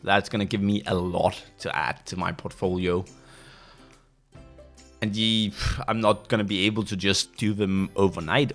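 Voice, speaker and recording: A young Danish man with a low voice talks casually on his own podcast. He often stops mid-sentence and restarts while he hunts for the right word. Soft music plays faintly underneath. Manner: pauses often to search for words, many restarts. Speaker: podcast host. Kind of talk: casual solo monologue.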